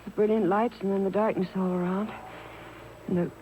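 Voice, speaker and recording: An actress playing a frightened, fretful woman with halting, hesitant speech in a 1950s radio mystery. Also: medium pitch, fairly even intonation, natural voice. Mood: fearful. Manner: halting, breathless hesitations and repeats. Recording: noisy old radio broadcast taped on cassette.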